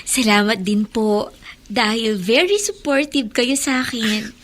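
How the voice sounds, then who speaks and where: High, bright, sweet teenage daughter. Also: female voice, unhurried. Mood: affectionate, cheerful. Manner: giggly, respectful. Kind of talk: Tagalog radio drama acting.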